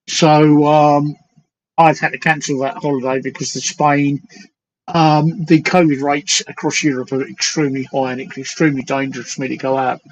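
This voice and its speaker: A man's voice: fairly high pitch, dull audio, a little hiss or hum. Older Cockney man hosting a livestream. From East End of London. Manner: emphatic, repeats points with "right?". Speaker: livestream host. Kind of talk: casual chat.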